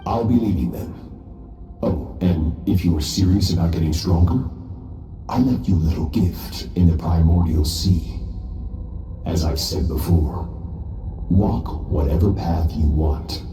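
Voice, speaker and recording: A man voicing an aloof, self-assured master swordsman in a game, calm and measured. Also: low voice. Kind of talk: game voice acting. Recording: roomy, distant, faint music.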